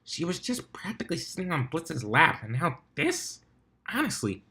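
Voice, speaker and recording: A man's voice: fairly high pitch, very animated, moderate pace, pushed and bright, pitched above the performer's own voice, heavily acted. A man voicing an exasperated female unicorn who grumbles and complains, in a story reading.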